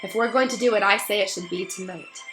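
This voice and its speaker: A woman reading a storybook voices a bossy, brisk, confident schoolgirl with an emphatic, matter-of-fact manner. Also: mid-range voice, wildly swooping pitch, a bit bright, faint music behind.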